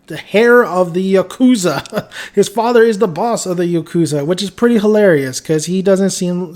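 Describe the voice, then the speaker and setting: A man's voice: high voice, darkish tone. A man with a Mexican accent giving a casual, rambling video review, often restarting his sentences and chuckling as he talks. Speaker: anime reviewer.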